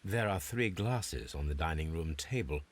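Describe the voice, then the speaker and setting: An actor playing a brilliant, self-assured Victorian detective in a video game, with precise, formal English speech. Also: male voice, extremely low pitch, lively intonation, normal speed. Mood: confident. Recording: clear background noise.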